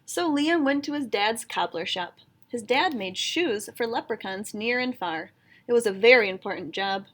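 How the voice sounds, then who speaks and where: Woman doing a kids picture book read aloud, highish voice, expressive storyteller. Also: normal speed.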